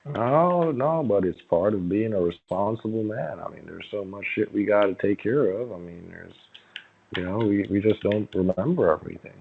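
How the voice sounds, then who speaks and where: A man with a low voice comes through a thin, band-limited phone connection. He talks like a conversational phone salesman, agreeable and coaxing, with hesitant pauses between phrases. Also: vocal fry, a little hiss or hum. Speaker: phone caller pitching a sweepstakes prize. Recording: muffled, narrow-band phone call.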